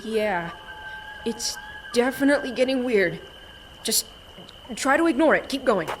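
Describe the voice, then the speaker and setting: Woman playing a determined high-voiced young boy adventurer. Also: expressive, unhurried, background noise. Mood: uneasy, pressing on. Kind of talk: scripted audio drama acting.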